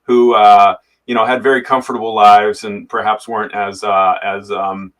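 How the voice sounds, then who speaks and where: A man's voice: lowish voice, level tone, normal speed, fairly bright tone, recorded from a distance. An American man, a former soldier, answering interview questions hesitantly, with many fillers and repeated words. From United States. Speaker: soldier and guest. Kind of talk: conversational interview.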